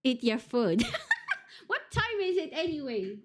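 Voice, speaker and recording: A woman's voice: high voice, very animated, a little slow. A woman streaming a horror visual novel, reading it aloud and reacting with frequent giggles and surprised swearing. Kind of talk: live game stream commentary and reading aloud.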